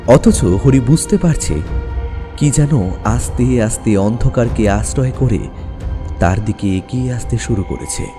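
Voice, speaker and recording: A man narrating a scripted horror story dramatically, with suspenseful pauses. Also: mid-range voice, music in the background.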